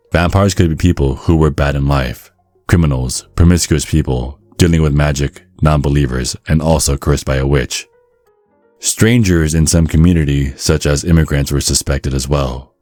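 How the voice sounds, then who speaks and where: A man reading scripted ASMR narration in a measured, storytelling manner. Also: rumbling low, a little mellow, no room sound.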